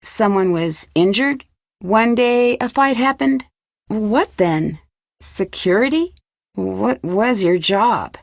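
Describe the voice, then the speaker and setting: A woman's voice: medium pitch, expressive, takes it slowly, gravelly, no echo, clean studio sound. A woman playing a curious, composed young female detective in an adventure game, asking direct and unflinching questions.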